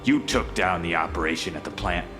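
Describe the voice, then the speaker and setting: An actor playing a hulking, mocking turncoat villain in a dark audio drama, taunting and cold in his delivery. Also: deep voice, a little fast, lightly acted. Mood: cold, resolute. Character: menacing, mocking hulking male turncoat, superhumanly strong. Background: music.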